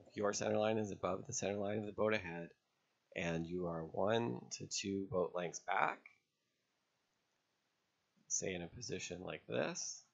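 A man narrating an instructional lesson as an instructor, explaining step by step in a measured way with pauses. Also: sits low, gravelly, husky, lo-fi sound. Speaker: sailing instructor. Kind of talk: instructional narration over drawn diagrams.

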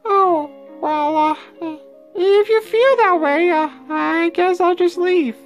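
A performer voicing a clingy, sulky cartoon dog with a squeaky voice and a whiny, stammering manner. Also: slow, soft background music, full character voice. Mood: hurt.

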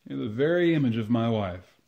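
An elderly male landowner in a radio comedy, played by a man. He speaks plainly and courteously at a middling pitch. His temper is sentimental and easily offended. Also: lively intonation, fairly quick, gravelly, muffled recording. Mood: wistful, courteous. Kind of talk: old-time radio comedy acting.

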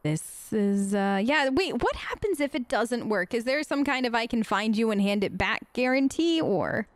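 A woman's voice: a little high, animated, normal speed, no echo. A woman doing casual, improvised role-play commentary as a let's play host, conversational, hesitant and often questioning.

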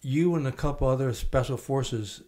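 Brief: older male host, steady affirming interviewer, studio mic. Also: medium pitch, moderate pace. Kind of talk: interview questions. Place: small podcast studio. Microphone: studio mic on stand, headphones.

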